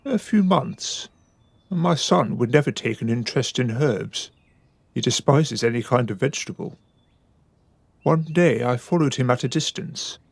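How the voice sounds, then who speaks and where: A wary, well-to-do father in a radio play. He is formal and reserved, with a medium-pitched gravelly voice and a dry studio sound. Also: unhurried, no room sound. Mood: hesitant. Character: proper, wary upper-class father guarding his household. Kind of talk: radio drama acting.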